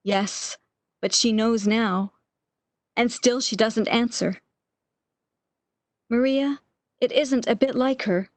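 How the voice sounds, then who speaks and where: A woman's voice: on the high side, slow pace, long pauses, no echo. A woman acting in a radio play as an anxious, regretful, bedridden sick woman with a fretful, pleading manner.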